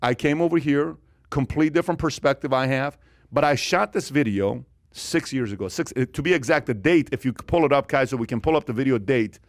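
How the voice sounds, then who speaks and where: A man's voice: medium pitch, brisk, dry recording, clean studio sound. A man in his forties from Iran hosting a podcast, giving opinions casually and emphatically, repeating phrases for effect. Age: 40s. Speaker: podcast host.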